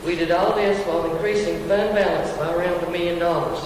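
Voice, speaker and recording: A man giving a prepared candidate statement at a public forum, in a brisk, list-like delivery. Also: high voice, steady tone, background noise. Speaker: county commissioner candidate. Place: large public meeting hall. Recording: echoey hall from far off.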